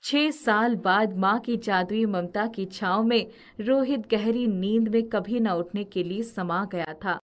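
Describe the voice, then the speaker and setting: A woman narrates a dramatic story as a storyteller. Her voice sits at an average female pitch and follows a script. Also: slow pace.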